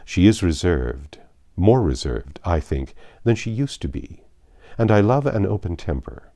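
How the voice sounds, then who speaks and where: A low-voiced, frank country gentleman from a storybook, as acted out by a male narrator, earnest and brusque. He speaks in formal, measured phrases with lively emphasis, in a soft-toned, dry recording. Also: no echo, heavily acted.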